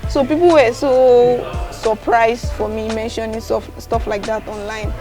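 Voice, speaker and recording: A young woman from Nigeria with a Yoruba accent, answering interview questions softly and laughing often. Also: fairly high pitch, fairly even intonation, unhurried, music in the background. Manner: soft-spoken, laughs often, mixes in Yoruba. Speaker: guest vlogger.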